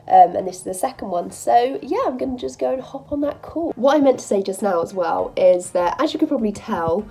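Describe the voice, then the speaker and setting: A woman in her twenties, a medical student, chatting casually to camera in an upbeat, quick way, with a stuffy nose from a cold. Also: on the high side, lively intonation, gravelly, dark tone, husky. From England. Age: 20s. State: bunged up with a cold. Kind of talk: casual vlog talk.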